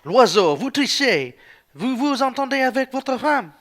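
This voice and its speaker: A very animated male voice from an actor as an outspoken traveller in a radio play. He is principled and quick to argue, with indignation in his delivery. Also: very high voice, heavily acted. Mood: teasing, accusing.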